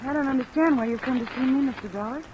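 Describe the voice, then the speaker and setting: An actress playing a young, embittered and defensive confessed killer in a 1950s radio drama, halting, emphatic and emotionally strained. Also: female voice, sits high, muffled recording, lightly acted. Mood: defensive, bitter. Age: young adult. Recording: old radio recording under rain noise. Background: steady rain sounds.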